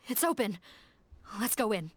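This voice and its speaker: A woman playing a serious, determined young investigator in a video game, with a measured, earnest dramatic delivery. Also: on the high side, sing-song, a little hiss or hum. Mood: resolute. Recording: loud game music behind the voice.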